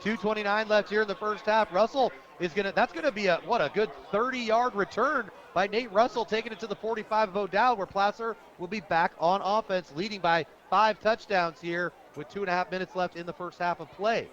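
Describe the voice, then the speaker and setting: An American man calling live football play-by-play on the radio in an animated, colloquial, opinionated way. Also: high voice, fairly quick, hardly any pauses. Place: stadium press booth, radio broadcast. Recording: muffled broadcast audio, outdoor stadium noise.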